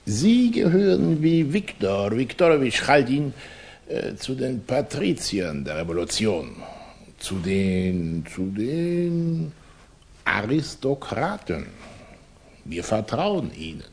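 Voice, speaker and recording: An actor playing a cunning Russian émigré revolutionary in a German radio play, flattering and condescending, with florid, oily politeness. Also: male voice, medium pitch, takes it slowly, vocal fry, exaggerated voice. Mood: suave, probing.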